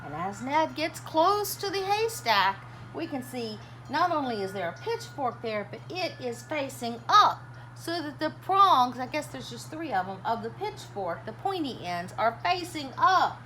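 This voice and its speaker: A woman gives an animated storytime read-aloud in a fairly high voice that swings widely up and down. She takes her time, pausing to chat and put questions to young listeners in an expressive, dramatic way. There is clear noise behind her. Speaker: teacher reading a children's picture book aloud. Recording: clearly noisy background.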